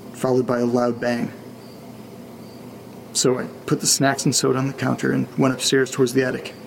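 A man acting as a jittery guy keeping a spooky audio diary late at night. His voice is hushed and creaky, conversational and confessional. Faint noise and night insects sit behind him. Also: mid-range voice, close to an everyday voice, low-quality recording. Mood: hesitant reading. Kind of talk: confessional audio-diary horror fiction, casual.

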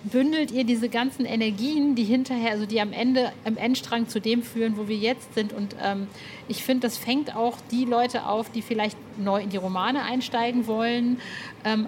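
High-voiced woman, informal interviewer. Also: a little mellow, a little hiss or hum. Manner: informal, searching for words, engaged. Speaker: podcast interviewer. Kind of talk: casual interview conversation.

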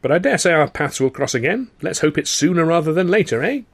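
A man's voice: fairly high pitch, very animated, quick pace, pitched above the performer's own voice. A man voicing a jovial, hearty young music-hall drinking pal in a story, in a jolly, exclamatory way. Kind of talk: audiobook character voice.

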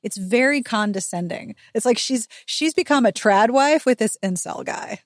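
A woman's voice: on the high side, lively intonation, normal speed, no room sound. Opinionated woman chatting, close dry sound. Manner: opinionated, emphatic, slangy, acts out imagined quotes. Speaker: podcast host. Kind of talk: casual book recap chat.

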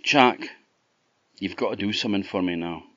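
A man's voice: low voice, moderate pace, fairly bright tone, dry recording, dull audio. A man voicing a young woman turned into a mule, speaking in an urgent, determined way.

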